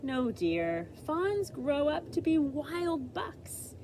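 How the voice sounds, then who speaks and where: A fussy storybook mom, voiced by a woman reader, firm and emphatic as she lays down the rules. Her pitch rises and falls widely, over faint background noise. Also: big pitch swings, extremely slow, dark tone, exaggerated voice. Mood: firm, warning. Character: firm, fussy mother refusing her son's pets. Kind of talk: picture book read-aloud.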